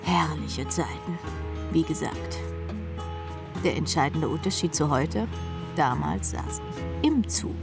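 A woman's voice: deep voice, animated, takes it slowly, vocal fry, heavily acted. An actress playing a brash, world-weary rock drummer who tells her story in a sardonic, rambling way in a radio drama. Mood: wry musing. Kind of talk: radio drama first-person narration. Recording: music playing behind the voice.

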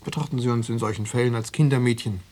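An actor playing an insinuating Stasi officer in a radio drama, probing and coaxing with cool formality and veiled menace. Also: male voice, mid-range voice, fairly even intonation, fast, a little mellow, lightly acted. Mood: veiled threat. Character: insinuating male Stasi officer pressuring an informant. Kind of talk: German radio drama dialogue.

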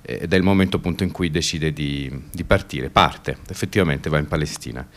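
A man's voice: very deep voice, brisk, a bit bright. A man presenting a stage show in unscripted speech, hesitant and searching for his words. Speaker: theatre maker presenting the show. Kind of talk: spoken presentation, unscripted explanation.

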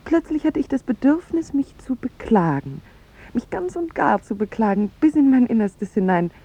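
A woman acting in a 1950s German radio drama as a charming, melancholy young lover, confessing theatrically with hushed, breathy phrase endings. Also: on the high side, sing-song, moderate pace, heavily acted. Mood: plaintive, resigned. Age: young adult. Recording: thin, phone-like 1950s radio recording.

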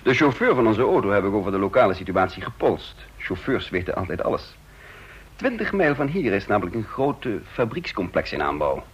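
A low, husky male voice plays a commanding, shrewd leader of a secret operation in a radio drama. He is clipped, authoritative and sure of himself. The old recording sounds dull. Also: big pitch swings, brisk. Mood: brisk commanding. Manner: clipped, authoritative, matter-of-fact. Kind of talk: Dutch radio drama dialogue.

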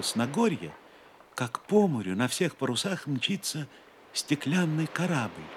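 Male narrator for legend audio play: deep, unhurried, grave and theatrical, widely varied intonation. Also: fairly bright tone. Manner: solemn, theatrical, measured. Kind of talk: dramatic scripted storytelling, audio play.

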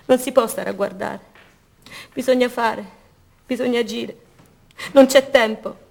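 High-pitched anguished woman prisoner. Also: unhurried, creaky, darkish tone. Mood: anguished resolve. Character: young woman prisoner of a secret torture camp, anguished, defiant. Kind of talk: radio drama monologue, intimate theatrical acting.

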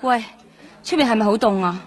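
An actress plays a tired working woman in a radio play, fairly high in pitch. She is offhand and streetwise, given to grumbling, with clear music behind her. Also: a little fast, lightly acted. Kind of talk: radio drama dialogue.

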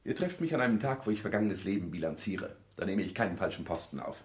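An actor playing a cold, ambitious, self-important grown son with formal, clipped speech in a 1960s radio play, recorded roomy and slightly distant. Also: male voice, low-pitched, expressive, quick pace. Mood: businesslike. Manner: formal, clipped, pompous declamation.

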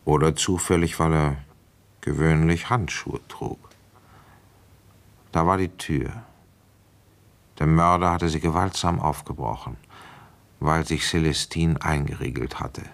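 An actor playing a weary, shrewd police inspector in a German radio play, brooding and speaking deliberately as he reasons things through. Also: a bass voice, gravelly, full character voice. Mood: brooding, reflective. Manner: deliberate, reflective, halting while thinking aloud. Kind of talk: German radio play acting, first-person narration and dialogue.

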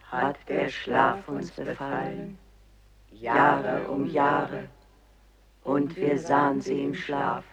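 An actor playing a solemn man who muses gravely on memory, in measured poetic radio play recitation. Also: medium pitch, fairly even intonation, over a noisy crowd. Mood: grave, wondering.

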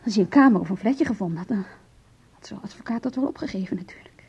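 Bitter estranged wife, very hoarse high voice, sarcastic, swinging intonation, radio play. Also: female voice, lively intonation, fast, darkish tone. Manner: clipped, sarcastic, emphatic. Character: bitter estranged wife holding back her emotions. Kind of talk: Dutch radio drama acting.

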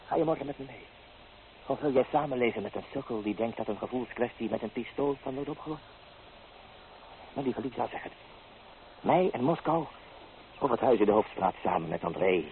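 Man playing a lively, mocking seducer, tinny recording. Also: medium pitch, fairly quick, long pauses, close to an everyday voice. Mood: coaxing, scornful. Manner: mocking, smooth-talking. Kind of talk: radio play acting. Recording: old tinny, band-limited radio recording with hiss.

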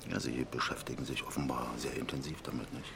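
An actor playing a middle-aged conservative politician in a radio drama, reserved and courteous, speaking in formal, hesitant phrases. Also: male voice, very deep voice, level tone, quick pace, creaky, noisy background. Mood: courteous, probing. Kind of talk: naturalistic radio drama dialogue.

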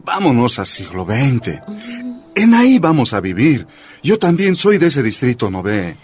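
A man's voice: medium pitch, wildly swooping pitch, a little slow. An actor playing an earnest, devoted young man in a radio drama, with music behind him. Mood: earnest, coaxing. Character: earnest young Bolivian ex-policeman, devoted suitor.

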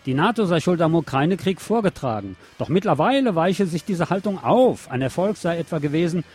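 A man's voice: on the high side, very animated, fairly quick, a little mellow, husky, soft background music. A man hosting a radio show, reading news articles aloud in a sardonic way with mocking asides.

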